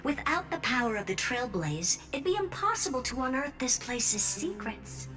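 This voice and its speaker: A woman's voice: high voice, big pitch swings, normal speed, over music. A woman voicing a brilliant, haughty, flippant scientist in a video game, breezy, self-assured and teasing. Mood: musing, dry.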